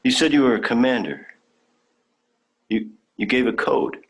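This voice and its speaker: Actor as naive young alien. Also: male voice, mid-range voice, expressive, normal speed, close to an everyday voice. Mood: puzzled. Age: young adult. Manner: earnest, polite, wondering. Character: naive, eager young male alien traveller, earnest and bookish. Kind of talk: sci-fi audio drama reading.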